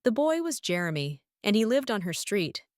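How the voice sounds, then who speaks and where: A woman narrating a children's story as a teacher would, expressive and clearly phrased for young listeners. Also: mid-range voice, normal speed, dry recording. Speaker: read-aloud teacher and storyteller. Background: sound effects and noise.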